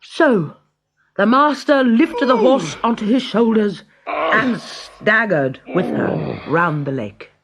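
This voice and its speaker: A storyteller reading a scripted children's tale in a dramatic, measured way. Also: mid-range voice, lively intonation, vocal fry, fairly bright tone, slight background noise.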